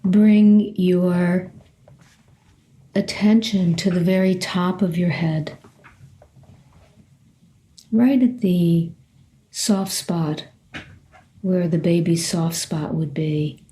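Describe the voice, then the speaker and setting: A woman meditation teacher leading a guided body scan in a gentle, unhurried way, leaving long pauses between short phrases. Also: lowish voice, steady tone.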